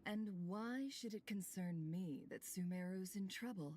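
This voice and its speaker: An actress playing a guarded, aloof wanderer with a bitter past in a video game, talking in a cool, dry, sardonic way. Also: female voice, mid-range voice, measured pace, background noise, natural voice. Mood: sardonic, dismissive. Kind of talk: scripted video game voice acting.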